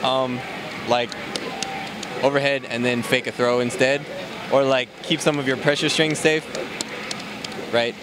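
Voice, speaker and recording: A man casually walking through a game character's moves, explaining in a relaxed way with many fillers, recorded at a noisy event. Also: mid-range voice, a little slow, pauses often. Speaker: game developer demoing a character. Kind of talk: casual gameplay walkthrough. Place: convention floor, noisy.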